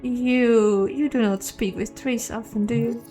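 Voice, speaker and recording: A woman's voice: fairly high pitch, steady tone, measured pace, mellow, soft background music, natural voice. A woman voicing a weary, solitary old elf druid in a fantasy game, solemn and cryptic, with formal phrasing. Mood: cryptic, grave.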